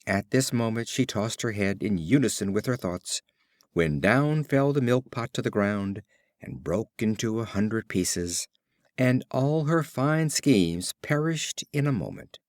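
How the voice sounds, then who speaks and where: Expressive male storybook narrator, dry close sound. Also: on the low side, no room sound. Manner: measured, expressive storytelling delivery. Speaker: audiobook narrator. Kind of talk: scripted storybook narration of short fables.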